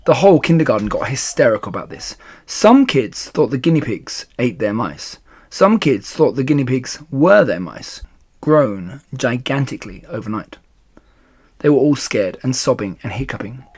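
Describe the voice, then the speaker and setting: A man reading a children's storybook aloud in an expressive style. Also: medium pitch, breathy edge. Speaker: storybook reader.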